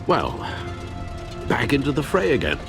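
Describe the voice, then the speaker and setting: Wise elderly butler, lively intonation. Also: male voice, mid-range voice, vocal fry, exaggerated voice. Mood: grave, caring. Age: older. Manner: formal, eloquent, measured. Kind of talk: dramatic video game voice acting. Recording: music under the voice.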